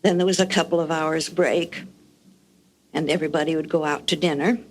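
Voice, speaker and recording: Older woman, low-pitched voice, measured and articulate, dry humor, reminiscing, faint background noise. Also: steady tone, low-quality recording. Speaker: guest recalling radio production history. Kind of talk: reminiscing anecdotes.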